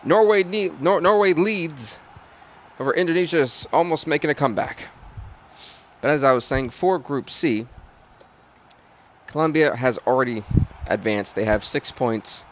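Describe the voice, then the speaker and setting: Raspy male football game streamer. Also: a little high, moderate pace, long pauses, a bit bright. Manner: play-by-play sportscaster phrasing. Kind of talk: live football game commentary and stream chat.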